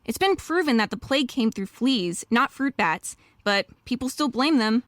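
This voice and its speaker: Casting: actress as professional lady wolf attorney, eager and earnest, higher pitch, dry close sound, radio mystery dialogue. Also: lively intonation, moderate pace, no echo, natural voice. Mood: earnest, confiding. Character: earnest, admiring female wolf lawyer, professional.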